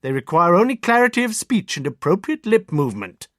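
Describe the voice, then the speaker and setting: A man doing a prim old woman, retired head nurse, deaf, lecturing, crisp dry sound. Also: high-pitched, big pitch swings, a little fast, a bit bright, no room sound, voice pushed up. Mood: tart, lecturing. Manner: precise, schoolmistress lecturing.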